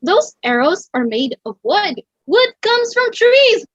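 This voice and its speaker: Woman playing indignant nature-loving girl, high voice, emphatic, dry dull recording, table read. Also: sing-song, slow pace, no room sound, full character voice. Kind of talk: musical theatre table read. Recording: slightly dull lo-fi microphone.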